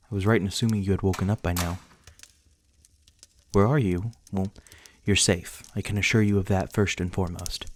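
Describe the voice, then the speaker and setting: A man playing a reclusive, protective vampire lord in an ASMR roleplay, speaking formally and courteously in measured words. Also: on the low side, darkish tone, natural voice. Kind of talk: ASMR roleplay acting, addressing the listener.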